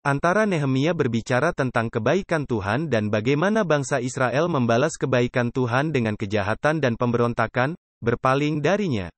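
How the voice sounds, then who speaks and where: A man's voice: medium pitch, expressive, moderate pace, dry recording. A man preaching a dubbed sermon earnestly, with emphatic rhetorical questions put to his listeners.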